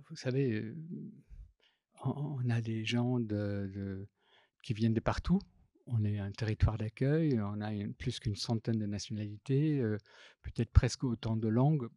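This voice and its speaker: A man speaks in a mellow, dark-toned voice at an ordinary pitch, the sound dry and close with no room around it. French is not his first language. He gives his public talk in a halting, thoughtful way and often pauses on fillers and restarts his phrases. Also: dry recording. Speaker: visual artist and typographer. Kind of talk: public talk, telling an anecdote.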